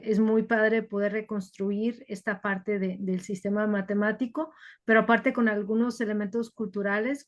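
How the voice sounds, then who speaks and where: A woman, speaking on a video call at a mid pitch. She presents her work in a thoughtful, unhurried way and often stops to restart her phrasing. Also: dull audio. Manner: thoughtful, hesitant with fillers and restarts. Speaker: workshop presenter. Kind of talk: online workshop talk, switching to English.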